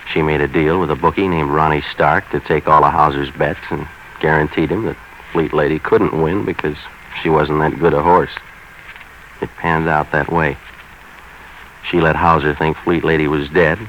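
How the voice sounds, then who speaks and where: A world-weary private eye with an extremely deep voice, telling the case in clipped, cynical slang. The style is deadpan 1940s radio noir. The old recording is dull, with some background hiss. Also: male voice, moderate pace. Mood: dry, matter-of-fact. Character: hard-boiled male private investigator, world-weary and cynical.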